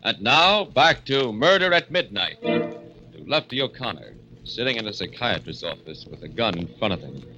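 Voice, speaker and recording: A male announcer with an ominous, measured delivery introducing scenes of an old radio drama. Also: lowish voice, very animated, music in the background. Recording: muffled old radio broadcast.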